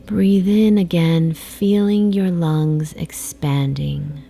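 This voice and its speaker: Slow, mellow woman guiding sleep meditation. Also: on the low side, steady tone. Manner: soft, soothing, breathy phrase endings, long pauses. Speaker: meditation guide. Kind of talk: guided sleep meditation, slow hypnotic narration. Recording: faint background noise, ocean waves. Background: ocean wave sounds.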